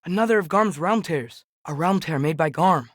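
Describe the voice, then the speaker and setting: A man's voice: fairly high pitch, moderate pace, a bit bright, no echo, clean studio sound. A young male actor playing an earnest, sensitive American teenage boy adventurer in a video game, speaking with a questioning, slightly hesitant manner. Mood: curious. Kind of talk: video game character dialogue.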